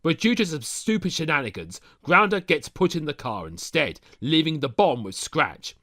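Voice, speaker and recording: A man's voice: fairly high pitch, big pitch swings, normal speed, pushed and bright. A man narrating a scripted comic story recap, dropping sardonic asides to the listener. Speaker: narrator of a fan audio story.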